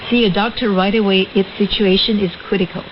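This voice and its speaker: A woman's voice: medium pitch, steady tone, fast talker, highs cut off, background noise. A woman presenting scripted radio news on a shortwave broadcast.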